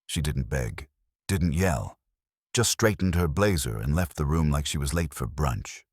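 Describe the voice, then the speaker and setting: A man's voice: very deep voice, expressive, a little slow, a little mellow, slightly rough, dry recording, clean studio sound. A man reading a first-person detective story as a scripted narration. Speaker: audiobook narrator.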